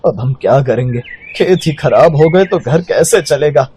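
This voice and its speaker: An actor playing an earnest village farmer and father in a cartoon story, with a husky voice. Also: a little high, animated, normal speed, darkish tone, slight background noise, lightly acted. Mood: distraught. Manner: lamenting, earnest. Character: anxious male village farmer, a worried father.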